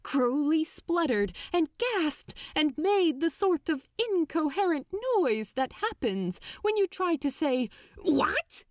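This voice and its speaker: A woman narrating scripted fiction dramatically, with theatrical, deliberate, suspenseful phrasing. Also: high voice, sing-song, dry recording, studio quality. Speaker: audiobook narrator.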